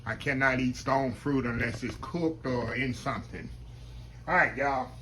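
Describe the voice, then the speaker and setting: A man, chatting to viewers as he cooks in his home kitchen, his voice slightly hoarse. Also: mid-range voice, measured pace, sharp tone, a little hiss or hum, rough recording. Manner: chatty, keeps addressing viewers as y'all. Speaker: home cook. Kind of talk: casual cooking demonstration.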